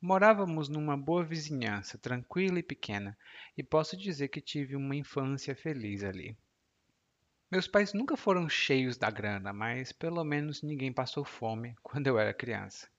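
Man, 30s, teacher, explanatory, podcast lesson, medium pitch, slightly muffled recording. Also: animated, normal speed. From Ceará, Brazil. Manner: clear, explanatory, laughs easily. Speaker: Portuguese teacher.

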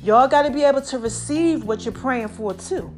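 A woman with a slightly raspy voice, chatting casually and preaching to her followers, calling herself Mama. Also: sits high, moderate pace, soft background music. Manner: animated, calls herself Mama, addresses viewers as y'all. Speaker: online commentator.

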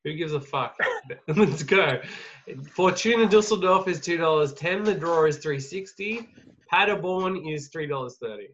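Swearing bloke running the bets. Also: male voice, fairly high pitch, expressive, normal speed, fairly bright tone. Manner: swears freely, laughs often, reads out odds. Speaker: podcast host taking bets. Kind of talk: casual podcast banter. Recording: dull lo-fi sound with faint noise.

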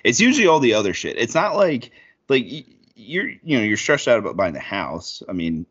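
A man's voice: medium pitch, sing-song, a little fast, a bit bright, husky, lo-fi sound. A man in his thirties co-hosting a podcast, talking casually with lots of fillers and swearing. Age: 30s. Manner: rambling, profane, lots of fillers. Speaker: podcast co-host.

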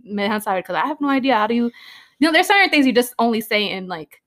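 A woman's voice: fairly high pitch, animated, very fast, a bit bright. A woman, co-hosting a podcast in a casual chat, teasing and laid-back, dropping Spanish into her English. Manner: laid-back, teasing, lots of 'like' and laughs. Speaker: podcast co-host.

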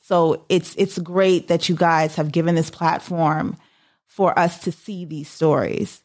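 A woman co-hosting a casual interview, building her points in short, halting conversational phrases. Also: fairly low pitch, steady tone, darkish tone. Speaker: podcast co-host.

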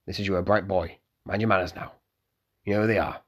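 A man playing a brisk innkeeper father who gives his son quiet instructions. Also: low-pitched, steady tone. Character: gruff grown-up innkeeper father.

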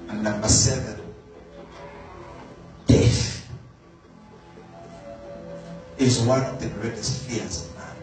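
A man preaching a sermon, slow and emphatic, repeating key words for stress. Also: medium pitch, fairly even intonation, darkish tone, recorded from a distance. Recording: very echoey room, voice from afar, faint music.

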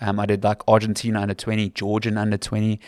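A South African man in his thirties speaks with a Cape Town accent in a fairly low voice. He talks earnestly and conversationally, like a commentator recounting his career in an interview. The sound is dry, with little room echo. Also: completely flat delivery, quick pace, darkish tone. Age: 30s. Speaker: rugby commentator, podcast guest.

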